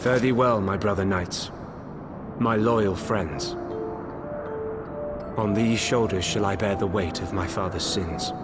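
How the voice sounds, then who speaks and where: A man's voice: on the low side, slow pace, pauses often, over loud music. A man playing a solemn, penitent noble knight prince in a fantasy video game, speaking in grave, formal, archaic oratory. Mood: solemn resolve.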